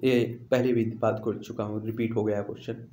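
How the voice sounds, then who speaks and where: A man's voice: fairly low pitch, level tone, a little fast, warm tone. A man teaching exam questions in an instructional way, repeating questions and answers for emphasis, with an echoey room sound. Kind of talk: instructional quiz walkthrough.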